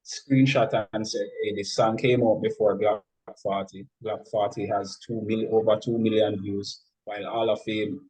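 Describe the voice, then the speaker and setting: Jamaican man giving halting, conversational advice. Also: fairly low pitch, level tone, a little mellow, rough recording. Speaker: guest, music studio professional.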